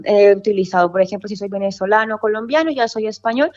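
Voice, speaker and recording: A woman's voice: medium pitch, steady tone, quick pace, a little mellow, highs cut off. A woman lawyer explaining immigration paperwork in a radio interview, full of asides and self-corrections. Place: office in Valencia, Spain.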